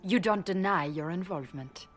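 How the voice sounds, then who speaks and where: A woman playing a shrewd, proud elven spymaster in a fantasy video game, speaking in a measured, pointed, self-assured way. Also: on the low side, long reverb tail, soft background music, natural voice. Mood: sardonic, cool. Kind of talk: dramatic video game acting.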